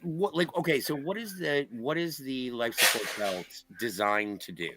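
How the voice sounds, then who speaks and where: A man's voice: mid-range voice, normal speed, background noise. A man co-hosting an online review stream, discussing and bantering casually in a wry, joking way.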